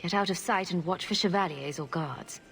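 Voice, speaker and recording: A woman playing a diplomatic, polite noble heroine in a fantasy game, courteous and measured. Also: lowish voice, vocal fry, a bit bright, faint music behind. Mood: composed, gracious. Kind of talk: fantasy game dialogue acting.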